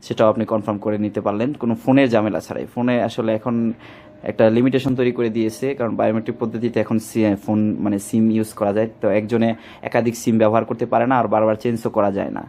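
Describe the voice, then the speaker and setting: A man with a Bangladeshi Bengali accent, giving a product demonstration as a shop salesman, with rapid, repetitive sales talk. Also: on the low side, mellow.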